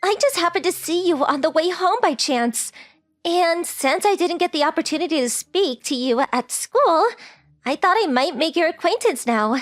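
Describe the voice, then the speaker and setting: A woman's voice: extremely high pitch, expressive, moderate pace, dry recording, studio quality. A woman voicing a polite, shy teenage rich girl in an anime-style dub, with very formal, hesitant phrasing. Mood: shy politeness.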